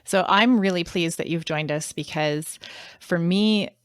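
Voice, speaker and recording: A woman's voice: fairly low pitch, measured pace, clean tone, no room sound. A woman co-hosting a podcast in casual conversation, somewhat hesitant as she searches for words. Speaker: podcast co-host.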